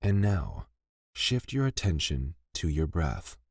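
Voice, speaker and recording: A man leading a scripted guided meditation in a gentle, soothing and deliberate manner, pausing between phrases. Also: rumbling low, wildly swooping pitch, vocal fry, slightly rough, no room sound, clean studio sound.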